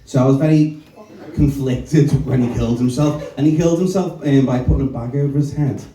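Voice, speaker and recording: A man's voice: medium pitch, a little fast. A young man doing a brash, self-mocking stand-up set in a small comedy club. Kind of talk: stand-up set with crowd work. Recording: echoey room, distant mic, loud crowd laughter.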